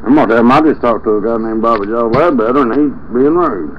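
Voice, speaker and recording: A man's voice: medium pitch, fast talker, highs cut off. A man on a prank call speaks in a mid-range voice, complaining in a folksy, exclaiming manner. He rambles and repeats himself. The recording has plenty of background noise behind him. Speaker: prank caller. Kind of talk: phone prank call, put-on indignant complaining.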